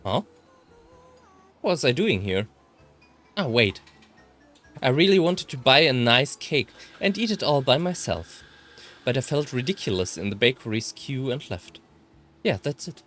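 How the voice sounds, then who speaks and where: A man with a German accent reads a visual novel aloud. His mid-pitched voice moves up and down in a lively, expressive way, with game music playing behind him. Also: unhurried, long pauses. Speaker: let's player reading a visual novel aloud. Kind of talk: expressive read-aloud of first-person game text.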